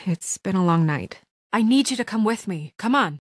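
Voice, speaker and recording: A woman acting in a video game as a composed, weary and stern administrator who speaks in a crisp, businesslike, quietly troubled way. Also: medium pitch, animated, moderate pace, no echo, studio quality, close to an everyday voice. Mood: businesslike, firm.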